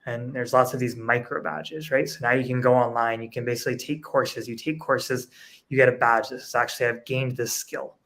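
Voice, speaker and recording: A man's voice: medium pitch, steady tone, a little fast, creaky, a bit bright. A man speaking as an education panelist, analytical, building his points through rhetorical questions. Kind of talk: panel discussion answer.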